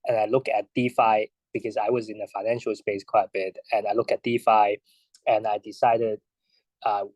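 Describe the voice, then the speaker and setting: A man in his thirties from Hong Kong, a guest answering interview questions in a halting way with many restarts and fillers. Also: fairly low pitch, level tone, a little mellow, no room sound, poor audio. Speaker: guest, investor.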